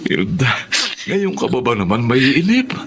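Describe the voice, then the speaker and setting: A man's voice: medium pitch, very animated, unhurried. A man playing an ambitious, earnest middle-aged father in a Filipino radio drama. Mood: reassuring. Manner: earnest, persuasive. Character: determined, ambitious Filipino father working abroad.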